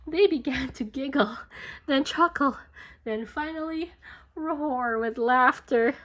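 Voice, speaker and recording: A woman reading a picture book aloud to children in an expressive, dramatic storytelling manner. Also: sits high, slow. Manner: expressive, dramatic, with whispers and playful sound effects.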